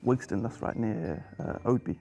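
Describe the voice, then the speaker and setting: A woman's voice: very deep voice, lively intonation, normal speed, creaky, mellow, faint music behind. A woman from London, talking casually in a group. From London, England.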